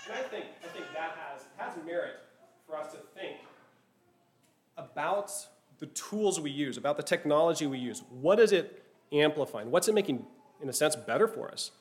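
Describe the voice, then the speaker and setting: A man's voice: on the high side, lively intonation, moderate pace, slight background noise. A man teaching a class, speaking thoughtfully, often restarting phrases and checking in with "right?". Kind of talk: classroom lecture.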